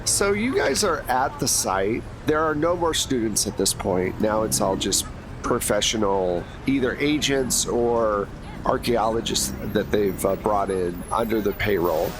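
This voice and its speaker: A man hosting and narrating a tabletop game as game master, in a relaxed, filler-heavy way. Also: on the low side, a little slow. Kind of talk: tabletop actual play narration. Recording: noisy home mic with hiss.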